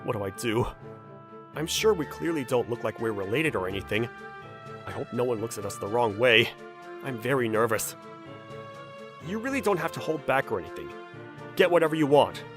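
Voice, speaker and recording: A man playing a level-headed, gently teasing young man in a manga dub, narrating and talking in a dry, blunt manner. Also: medium pitch, expressive, moderate pace, pauses often, music in the background, lightly acted. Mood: nervous, wry.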